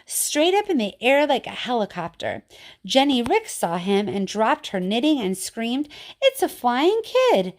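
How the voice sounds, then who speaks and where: A woman's voice: fairly high pitch, measured pace. Chatty woman reading poems for kids. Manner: informal, chatty, expressive when reading. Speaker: teacher reading poems to children. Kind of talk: read-aloud of children's poetry with casual commentary.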